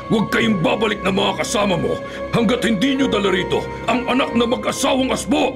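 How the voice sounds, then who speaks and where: A ruthless king of monsters for radio horror, his voice pitched high and imperious. Dramatic music plays loudly under his menacing commands. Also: brisk, gravelly. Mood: commanding rage. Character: ruthless, power-hungry male king of the aswang.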